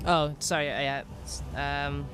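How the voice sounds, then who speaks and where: A young man from Wales with a softened Welsh accent, streaming a role-playing game in rambling, self-mocking chat full of restarts. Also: on the high side, steady tone, measured pace, over music. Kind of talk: casual in-character role-play commentary.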